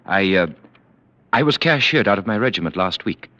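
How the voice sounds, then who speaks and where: Low-voiced, courteous army officer. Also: male voice, animated, normal speed, pushed and bright, dry recording. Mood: earnest, formal. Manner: courteous, formal. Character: polite, dutiful military officer, the aristocrat's companion. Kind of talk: vintage radio drama acting. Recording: dull, lo-fi vintage radio recording.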